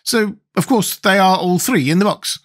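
A man voicing a pompous, self-important, highly educated giant insect in a storybook. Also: normal speed. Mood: pompous, certain. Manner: pompous, declarative.

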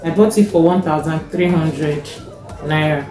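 A young Nigerian woman with a Nigerian accent, casually showing her grocery haul, taking her time, checking a receipt and often pausing with fillers. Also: low-pitched, steady tone, dark tone. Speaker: vlogger. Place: home kitchen, indoors. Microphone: fixed camera in front. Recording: echoey kitchen, faint music.

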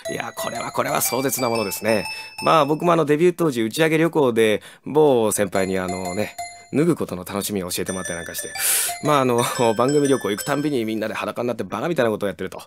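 A male voice actor telling a comic story with exaggerated delivery in a recording studio. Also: mid-range voice. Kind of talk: cast comment anecdote.

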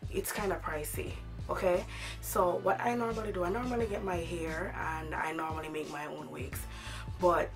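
A woman in her thirties with a hoarse voice from a cold, chatting casually to camera in her bedroom about a wig she ordered. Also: lowish voice. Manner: chatty, animated, gestures a lot with her hands. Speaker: beauty vlogger. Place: bedroom at home, indoors.

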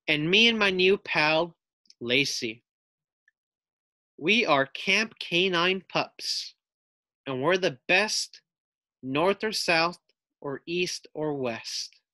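A man voicing an excitable young dog at summer camp in a storybook, exclaiming dramatically. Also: sits high, no echo, voice pushed up, full character voice.

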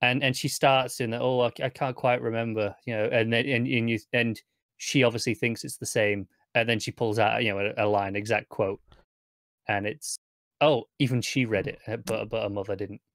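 A young man talking casually and haltingly as co-host of a review podcast. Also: mid-range voice, fairly even intonation, a bit bright, no room sound, studio quality. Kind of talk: casual chat, TV episode review. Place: indoors at home, online video call.

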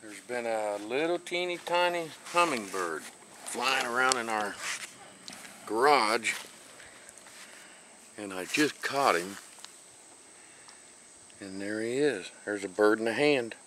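A man's voice: mid-range voice, expressive, takes it slowly, long pauses. A man chatting casually outdoors on a home video, picked up by a camcorder. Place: outdoors in a yard by a house. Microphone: camcorder held nearby. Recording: faint outdoor camcorder noise.

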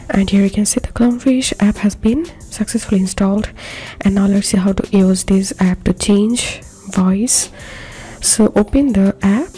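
A woman narrating a scripted how-to software tutorial as host, giving simple step-by-step instructions. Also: medium pitch. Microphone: voice-over for screen recording. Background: background music.

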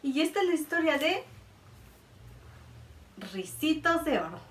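A woman in her thirties reading a picture book aloud to children, expressive and sing-song. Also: high-pitched, a little slow. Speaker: children's librarian storyteller. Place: indoor room by a felt board. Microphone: camera a few feet away. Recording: roomy sound from a distance.

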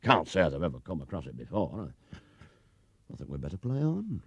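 A man acts out an easygoing, puzzled old-fashioned cricket official for a storybook. His voice is low and hesitant, with a measured, folksy way of talking. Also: huge pitch swings, breathy edge, no room sound, lo-fi sound, heavily acted.

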